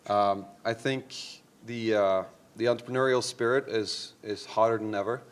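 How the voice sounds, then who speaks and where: Guy from norway talking on a startup panel, lowish voice, lots of uhs, upbeat. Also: steady tone, moderate pace, clear, resonant tone. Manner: upbeat, hesitant with many fillers. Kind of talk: conference panel introduction. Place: conference room.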